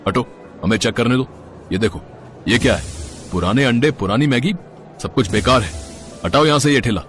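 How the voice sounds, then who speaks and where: A man's voice: medium pitch, normal speed, fairly bright tone, faint music behind, close to an everyday voice. A man playing a stern, bullying food inspector in a moral story, officious and commanding. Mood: harsh, commanding. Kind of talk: storybook character acting.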